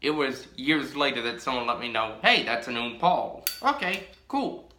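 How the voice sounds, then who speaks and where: A man's voice: mid-range voice, big pitch swings, pushed and bright, reverberant. A young man chatting casually about a pipe he shows to the camera, drawn out and full of ums, recorded in a small room at home. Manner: rambling, drawn-out, many ums. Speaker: pipe-collecting vlogger. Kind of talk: casual show-and-tell.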